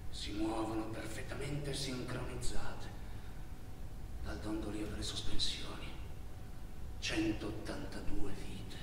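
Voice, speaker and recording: A man performs an anguished male traveller, a migrant recounting a hard journey as a stage monologue. The delivery is declamatory and intense, built for the theatre. It is heard from far off in a very reverberant hall, with clear noise in the recording. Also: on the low side, natural voice. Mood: hushed, grave. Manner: intense, declamatory, swinging from hushed to shouting. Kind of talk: dramatic theatrical monologue narration. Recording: echoey hall from far off, noisy.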